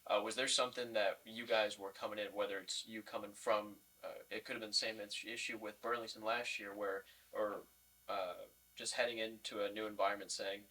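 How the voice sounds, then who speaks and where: A man heard some way from the mic, with a bright, slightly low voice. He interviews casually as a podcast co-host, building long, winding questions. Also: level tone, fairly quick. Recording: distant from the microphone.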